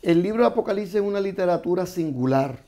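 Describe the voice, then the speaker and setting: A man, a guest author, explaining scripture in a measured, teaching way on a studio panel. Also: high voice, lively intonation, dark tone.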